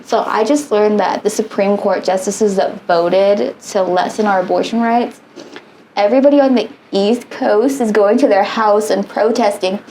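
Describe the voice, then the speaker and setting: A woman's voice: mid-range voice, steady tone, moderate pace, warm tone, slight background noise, poor audio. A woman in her late twenties co-hosting a podcast in casual chat, rambling and swearing freely and trailing off mid-sentence. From United States. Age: 20s. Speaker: podcast co-host.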